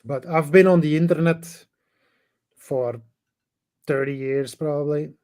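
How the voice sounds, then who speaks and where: A middle-aged man from Belgium, Dutch-speaking by birth, who talks English with a Flemish accent as a caller, halting and restarting often in casual chat. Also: fairly high pitch, a little mellow. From Belgium, Dutch-speaking part. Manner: halting, hesitant, many restarts and fillers.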